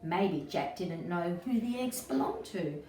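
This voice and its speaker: Low-voiced woman reading a storybook, roomy echo. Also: unhurried. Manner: expressive, asks the child listeners questions. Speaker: early childhood educator reading aloud. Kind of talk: children's storybook read-aloud. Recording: roomy echo, distant mic, faint noise.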